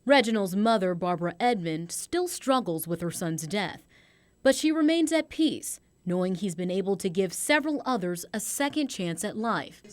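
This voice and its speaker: Woman, mid-range pitch, news reporter, scripted narration. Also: expressive, normal speed.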